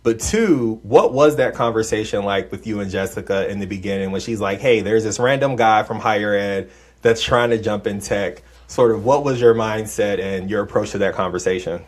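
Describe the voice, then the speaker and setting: A man's voice: on the low side, normal speed. A man hosting a podcast interview in a casual, conversational style, informal and curious as he asks questions. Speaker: podcast host interviewing a guest.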